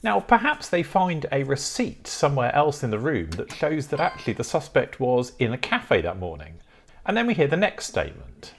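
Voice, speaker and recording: A man's voice: mid-range voice, huge pitch swings, normal speed. A man with a British accent presenting a tutorial in a methodical, explanatory way.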